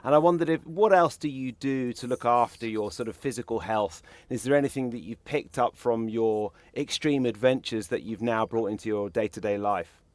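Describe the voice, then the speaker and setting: A man's voice: mid-range voice, normal speed, no echo. A man hosting a podcast with a mid-range voice and an easygoing, curious manner. His voice sounds dry and close, with no room around it. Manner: easygoing, brief backchannels, asks open questions. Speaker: podcast host. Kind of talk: casual interview conversation.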